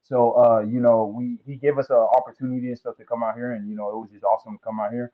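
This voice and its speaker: Brief: young man from American Samoa, relaxed and easygoing, on a tinny phone-like remote line. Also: mid-range voice, fast. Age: young adult. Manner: laid-back, many "you know" fillers. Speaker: football recruit guest. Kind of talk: casual interview.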